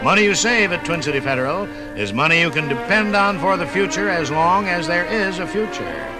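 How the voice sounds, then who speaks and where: A man's voice: high-pitched, lively intonation, a little fast, rough recording. An American man reading scripted narration and sponsor messages as a 1950s radio host. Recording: 1950s radio broadcast recording, music.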